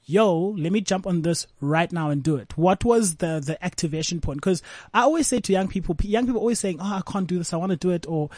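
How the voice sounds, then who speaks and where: Man, South African, host, high voice, dry sound, encouraging. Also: quick pace, hardly any pauses, darkish tone, no room sound. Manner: hyped, encouraging questioner. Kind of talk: casual interview.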